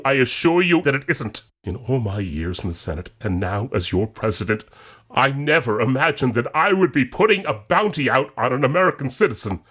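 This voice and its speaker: An actor playing a grave American president giving a measured, formal address in an audio drama. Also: male voice, medium pitch, a bit bright, close to an everyday voice. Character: solemn male American president addressing the nation.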